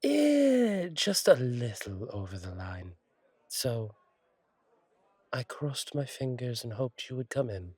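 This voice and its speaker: A man plays a fallen-angel bartender with a lowish, husky voice, recorded close and dry. He chats to a regular in an intimate roleplay style, reassuring and attentive. His humour is gentle and wry, and his wording turns slightly formal. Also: no echo, full character voice. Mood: wry, playful. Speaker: bartender character in audio roleplay. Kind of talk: intimate one-sided roleplay audio acting.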